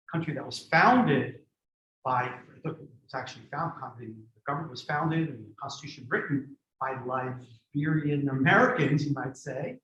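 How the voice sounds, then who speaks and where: A man's voice: a little high, fairly bright tone, a little hiss or hum. A man born in Greece giving a history lecture and answering audience questions in a thoughtful, digressive way with many restarts. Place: community center lecture room. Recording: echoey room, dull lo-fi sound.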